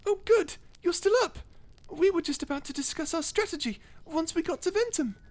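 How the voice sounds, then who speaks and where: A performer voicing a cute, excitable little mouse disciple in an ASMR roleplay, eager and polite. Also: extremely high pitch, fairly quick, voice pushed up. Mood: delighted. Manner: chirpy, eager, explanatory. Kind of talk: ASMR roleplay character acting.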